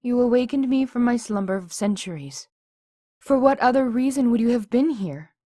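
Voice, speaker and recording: Humble djinni mare, formal and imploring, fairly high voice, dry close sound. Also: female voice, animated, moderate pace, dark tone, no echo. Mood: earnest. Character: pleading female djinni spirit, a servile mare. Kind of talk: fan audiobook voice acting.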